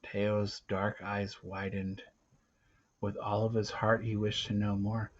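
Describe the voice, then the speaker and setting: A man narrating a storybook aloud theatrically, with dramatic pauses and swings between hushed and loud delivery. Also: on the low side, flat delivery, slightly rough, highs cut off.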